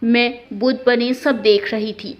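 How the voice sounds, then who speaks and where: High-pitched woman narrating a story. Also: steady tone, unhurried, slight background noise. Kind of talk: scripted first-person narration of a family drama story. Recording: dull, lo-fi sound.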